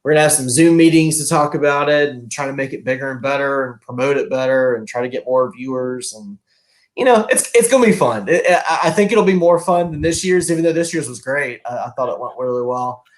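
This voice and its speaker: A man's voice: mid-range voice, fairly quick. A man hosting a casual author interview over a video call, upbeat and laughing often, with many fillers. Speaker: host.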